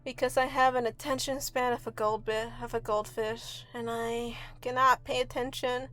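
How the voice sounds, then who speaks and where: High-voiced woman, rambling casually. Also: little pitch movement, moderate pace, a bit bright. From Mexico. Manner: offhand, self-deprecating, sweary. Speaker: video artist and host. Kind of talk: casual rambling voice-over commentary. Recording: amateur home recording with music underneath. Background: music.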